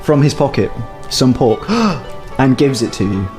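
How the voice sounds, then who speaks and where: A man's voice: medium pitch, unhurried, soft background music. A man narrating as game master of a tabletop game. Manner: animated, narrating.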